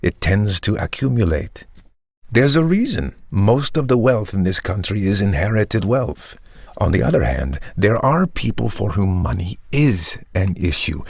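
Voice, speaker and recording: A charismatic vampire tech mogul in an audio comedy drama. His voice is lowish and husky, recorded very dry. He pitches his grand vision in a measured, pompous, persuasive way. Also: big pitch swings, darkish tone, dry recording, exaggerated voice. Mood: smug, instructive. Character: charismatic, ambitious vampire tech entrepreneur, smooth visionary salesman. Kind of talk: dramatic monologue, polished pitch.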